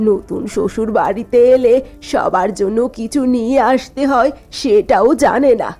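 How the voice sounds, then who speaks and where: A woman acts out a bitter middle-aged mother-in-law from a cartoon family drama. She is domineering and sneers through her complaints in a high-pitched voice, over faint music. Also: normal speed, mellow. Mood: scornful. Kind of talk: cartoon voice acting.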